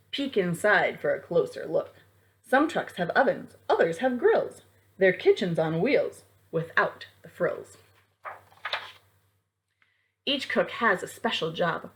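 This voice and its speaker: Perempuan pemandu waktu mendongeng untuk anak-anak. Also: female voice, mid-range voice, sing-song, a little slow, a little hiss or hum. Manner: addresses young children directly, with prompts and questions. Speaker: children's librarian leading storytime. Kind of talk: read-aloud storytime with rhymes for young children.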